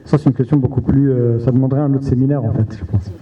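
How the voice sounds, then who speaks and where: A man moderating a round table, keeping time in a brisk manner. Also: medium pitch, steady tone. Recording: distant roomy hall sound, dull audio.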